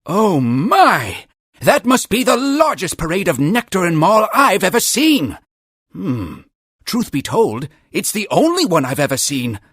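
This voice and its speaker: A man voicing an excitable, gossipy little creature in a cartoon game, husky and chatty, full of marveling emphasis. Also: high-pitched, unhurried, no room sound, clean studio sound, full character voice. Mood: amazed, curious. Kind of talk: theatrical cartoon game character dialogue.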